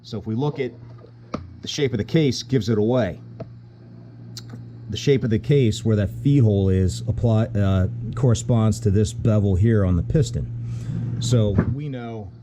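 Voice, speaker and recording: Low-voiced man explaining over loud hum. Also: moderate pace. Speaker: auto mechanic instructor. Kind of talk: hands-on technical explanation.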